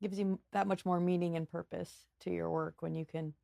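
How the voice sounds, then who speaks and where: A woman's voice: on the low side, flat delivery, a little fast, creaky, dark tone, no room sound, clean studio sound. A woman hosting an interview podcast, asking questions in an upbeat, affirming way. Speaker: podcast host. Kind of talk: interview conversation.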